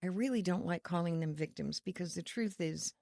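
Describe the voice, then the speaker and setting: A woman from Connecticut, a ministry leader, explaining her work in an earnest, faith-filled, measured way as a podcast guest. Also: lowish voice, gravelly, warm tone, no room sound, studio quality. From Connecticut, USA. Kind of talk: podcast interview.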